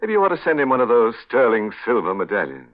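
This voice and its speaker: A man's voice: medium pitch, wildly swooping pitch, fast talker. A man hosting a 1940s radio horror show in a sardonic, mock-macabre manner. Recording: narrowband 1940s radio recording with music.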